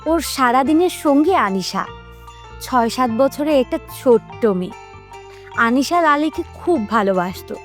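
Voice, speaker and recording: A woman's voice: sits high, lively intonation, measured pace, soft background music. A woman narrating a scripted story for children as a storyteller.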